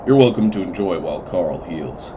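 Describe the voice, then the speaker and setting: A man playing a weary older widowed farmer, measured and plain-spoken, in a comic dub. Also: low-pitched, animated, background noise. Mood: calm, firm. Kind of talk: amateur comic dub acting.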